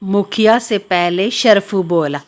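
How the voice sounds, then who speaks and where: A woman's voice: medium pitch, takes it slowly. A woman narrator reading a scripted children's story.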